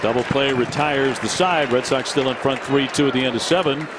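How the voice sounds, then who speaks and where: A man's voice: medium pitch, over a noisy crowd, very noisy. A man calling a baseball game as play-by-play announcer, chatting with his partner and reading promos. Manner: brisk, conversational, reads promos. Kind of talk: baseball broadcast commentary. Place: ballpark broadcast booth.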